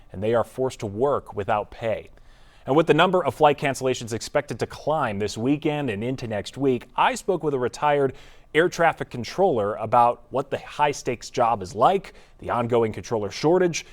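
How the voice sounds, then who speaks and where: A man working as a TV news reporter, delivering scripted news narration. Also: medium pitch.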